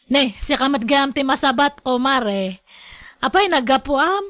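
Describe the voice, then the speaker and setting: Woman acting discontented housewife, high-pitched, sharp-tongued, grumbling manner, radio drama, dry recording. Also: lively intonation, unhurried, no room sound. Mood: chatty, gossipy. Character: nagging, discontented village wife and mother.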